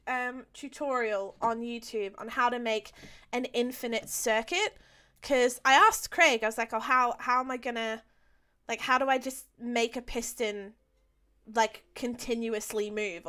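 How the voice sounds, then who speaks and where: A woman's voice: fairly high pitch, bright, forceful tone. Chatty woman streaming a game. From Australia. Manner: chatty, many ums and restarts, laughs easily. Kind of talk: casual live-stream chat while playing.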